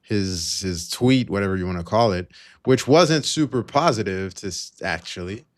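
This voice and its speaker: A man's voice: sits low, lively intonation, normal speed. A man co-hosting a live trading stream with casual market commentary, thinking aloud in a hesitant way.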